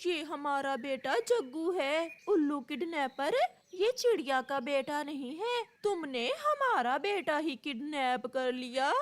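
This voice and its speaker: A woman's voice: high voice, animated, slow. A woman voicing a scheming, fretful mother crow who scolds and exclaims in a children's cartoon story. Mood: indignant.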